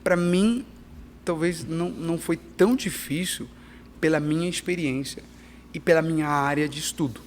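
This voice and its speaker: A middle-aged missionary pastor, talking animatedly as a guest in an interview, with frequent restarts. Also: male voice, on the high side, moderate pace, slight background noise. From Peru and Brazil. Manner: animated, emphatic, restarts often. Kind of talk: conversational interview.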